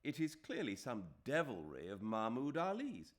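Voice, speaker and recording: A man's voice: mid-range voice, wildly swooping pitch, moderate pace, pitched above the performer's own voice. A man voicing a superior Englishman who speaks in a scathing, disbelieving way in an audiobook. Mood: brisk. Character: scornful Englishman, cool and superior.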